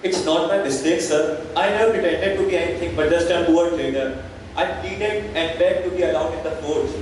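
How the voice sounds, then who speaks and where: Stage play peddler, male actor: poor, humble but defiant, high male voice, slow declamation, distant and very reverberant. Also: natural voice. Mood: pleading. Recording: very echoey hall, distant, faint noise.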